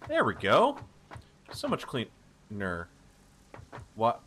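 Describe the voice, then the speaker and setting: A man casually narrating his own building game, talking to himself with wry asides and a slightly hoarse voice. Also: fairly low pitch, huge pitch swings, extremely slow, slight background noise. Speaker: let's play host. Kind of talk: casual gameplay commentary. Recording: voice-over with loud game music behind.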